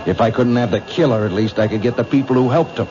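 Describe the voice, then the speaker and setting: A man's voice: mid-range voice, fast, a crowd in the background, natural voice. An actor playing a vengeful gunman, bitter and menacing with slangy tough-guy talk, in a radio mystery drama. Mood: bitter anger. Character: vengeful gunman, grown son of a murdered grocer. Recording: muffled, noisy old radio drama recording.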